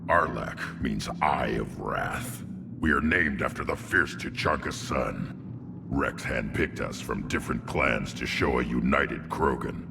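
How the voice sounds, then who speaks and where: Extremely deep alien warrior, young and spoiling for a fight, for a sci-fi game. The delivery is blunt and gruff, swinging widely from low rumble to bark, heavy with gravel. Clear ambient noise sits behind it. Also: male voice, lively intonation, unhurried, full character voice. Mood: proud, solemn. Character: young, battle-hungry alien warrior brute, blunt and proud. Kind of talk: video game character acting.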